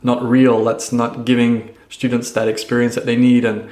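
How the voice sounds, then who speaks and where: A man's voice: mid-range voice, monotone, normal speed, a little mellow. A man co-hosting a teaching podcast, chatting casually and affirming the guest with frequent "yeah"s. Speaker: podcast co-host. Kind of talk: casual interview chat. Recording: echoey room.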